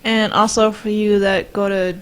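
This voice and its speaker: Slow-talking young woman in casual chat. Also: medium pitch, flat delivery, clear, resonant tone. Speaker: podcast co-host. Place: small room at a round table. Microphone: podcast microphone on boom arm.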